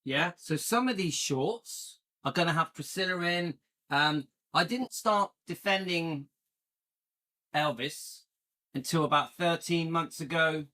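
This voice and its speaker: A man's voice: slow pace, sharp tone. A middle-aged man, hosting a casual live stream and chatting with viewers, rambling with a playful edge. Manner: chatty and rambling, jumps into a higher playful pitch when joking. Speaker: YouTube channel host.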